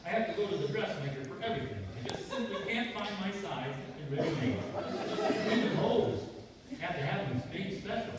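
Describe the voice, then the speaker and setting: Very noisy distant hall, man acting exasperated wry husband, animated highish voice, radio comedy. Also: brisk. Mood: polite, evasive. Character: exasperated, deadpan husband posing as his wife, narrating. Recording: noisy echoey hall from far off.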